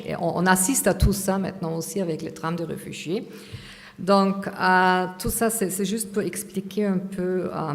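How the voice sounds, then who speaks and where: Woman lecturing in an echoing hall. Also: on the low side. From Austria. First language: German. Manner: hesitant, many fillers and restarts. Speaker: conference speaker.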